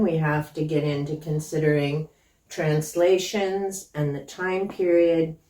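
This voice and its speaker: A woman's voice: deep voice, warm tone, distant mic. A woman whose first language is Hungarian, talking casually and skeptically about books she read, rambling with side thoughts. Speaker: book vlogger. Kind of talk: casual book review talk. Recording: echoey room, voice from off-mic.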